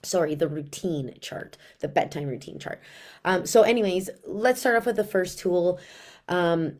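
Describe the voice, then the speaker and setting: A woman in her thirties who is losing her voice, so she sounds raspy and hoarse. She speaks low and mellow, chatting frankly to camera as a sleep consultant with parenting tips and lots of asides.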